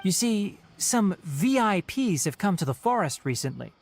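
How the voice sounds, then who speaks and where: A man playing a calm, courteous young forest ranger in a video game, speaking in a measured, articulate way. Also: high voice, background noise. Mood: explanatory. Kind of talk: video game dialogue acting. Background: background music.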